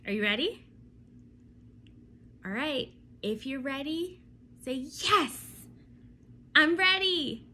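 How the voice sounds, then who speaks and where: A woman reading a bedtime story aloud for children, expressive and often turning to ask her young listeners questions. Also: high voice, slow, pauses often, slight background noise.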